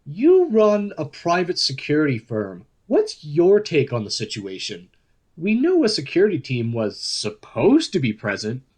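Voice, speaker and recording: A probing news podcast host for a radio play. His fairly high voice swings with lively intonation, and he presents in a polished, earnest, deliberate style. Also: slightly rough, heavily acted. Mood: probing, skeptical.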